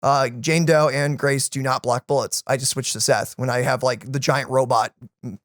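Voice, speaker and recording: A man's voice: medium pitch, fairly even intonation, normal speed, fairly bright tone, no echo, studio quality. A man chatting casually and enthusiastically as the host of a gaming reaction channel, in a rambling way. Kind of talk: casual chat about a video game.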